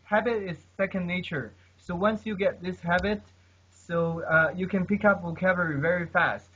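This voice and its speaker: Husky-voiced man tagging lines with "okay?". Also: sits high, steady tone, moderate pace, bright, forceful tone. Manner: keeps tagging sentences with "okay?"; earnest, advice-giving, many fillers. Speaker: guest polyglot. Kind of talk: casual conversation about language learning. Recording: dull lo-fi recording.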